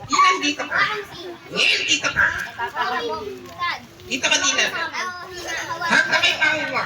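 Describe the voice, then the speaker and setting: A woman's voice: high-pitched, lively intonation, unhurried. A woman voicing a cheeky, mischievous childlike puppet that talks back bluntly in a live ventriloquist show. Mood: excited, bossy. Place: children's birthday party venue. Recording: echoey party venue, distant, loud crowd.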